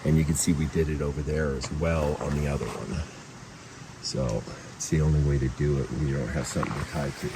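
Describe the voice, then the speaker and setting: A man's voice: rumbling low, steady tone, brisk. A man giving a casual outdoor walkthrough as a guide, plain-spoken and keeping his voice down. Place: outdoors at a backcountry camp. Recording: distant mic, outdoor background noise.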